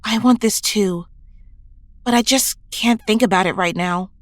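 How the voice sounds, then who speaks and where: A woman's voice: mid-range voice, unhurried, no room sound, lightly acted. Woman playing an earnest young heroine, dry sound. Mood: subdued. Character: earnest, idealistic young female superhero. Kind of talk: audio drama acting.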